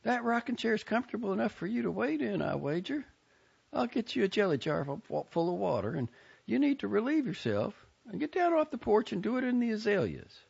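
A man's voice: very animated, creaky, darkish tone, husky, exaggerated voice. A man reading aloud, voicing a scolding but loving older aunt in a raised, pinched voice. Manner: high, pinched voice with drawn-out scolding lines. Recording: dull lo-fi recording.

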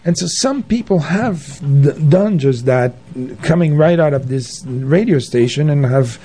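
A man being interviewed on radio, speaking off the cuff and haltingly as he searches for his words. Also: a little high, lively intonation, mellow, husky. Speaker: guest, community radio and arts centre founder. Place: radio station.

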